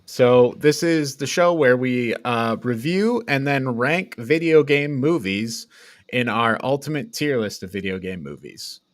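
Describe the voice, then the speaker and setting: A man's voice: medium pitch, lively intonation, a little slow, dry recording. A man hosting a casual movie review chat. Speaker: podcast host.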